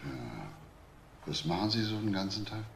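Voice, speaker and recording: An actor playing a methodical police detective, asking persistent, measured questions in a crime radio play. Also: male voice, fairly low pitch, lightly acted. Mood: measured, probing. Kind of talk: German crime radio drama acting. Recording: faint background noise.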